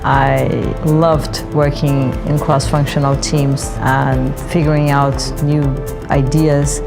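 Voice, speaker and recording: Low-voiced woman from Brazil over music. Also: level tone, slow pace, vocal fry. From Brazil, later Germany. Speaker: senior consultant, project manager. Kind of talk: interview soundbites in a promotional film. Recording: music behind the voice. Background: background music.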